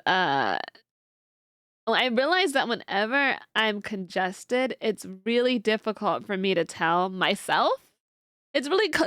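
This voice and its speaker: A woman's voice: a little high, bright, forceful tone, no room sound, clean studio sound. A young woman streamer chatting casually with a congested, nasal voice, rambling as she answers her chat. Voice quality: nasal, stuffed-up voice. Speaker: livestreamer. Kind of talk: casual stream chat while watching videos.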